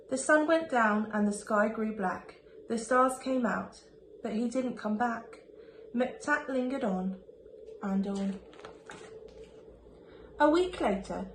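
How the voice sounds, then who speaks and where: A woman reading a rhyming children's picture book aloud in an expressive, sing-song storytelling manner, like a primary school teacher. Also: fairly high pitch. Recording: echoey room with faint background noise.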